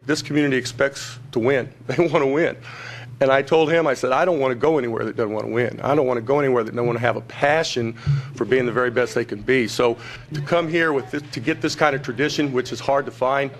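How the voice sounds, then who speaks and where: A man's voice: medium pitch, steady tone, fast. A man in his fifties, a basketball coach speaking emphatically at an indoor press conference into a podium microphone.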